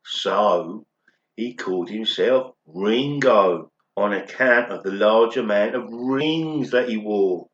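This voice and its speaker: A man's voice: fairly low pitch, takes it slowly, fairly bright tone. A daft pop star played by a man tells his story earnestly in a radio play interview. The sound is roomy and a little muffled, like a home recording, with a chatty, self-important delivery. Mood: chatty, pleased. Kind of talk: amateur radio play acting.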